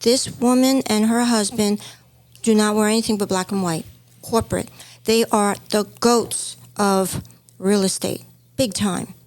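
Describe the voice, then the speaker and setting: A middle-aged woman from Hong Kong whose first language is Chinese, speaking at an ordinary mid pitch. She reminisces in an easy storytelling flow as a podcast co-host. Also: steady tone, measured pace, a little mellow. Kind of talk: casual chat.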